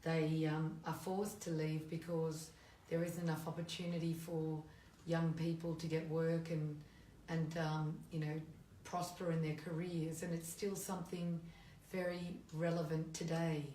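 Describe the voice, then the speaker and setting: A woman interviewer with a low, mellow voice asks conversational questions. She sounds off-mic in an echoey room. Faint hiss sits underneath. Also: flat delivery, measured pace, recorded from a distance.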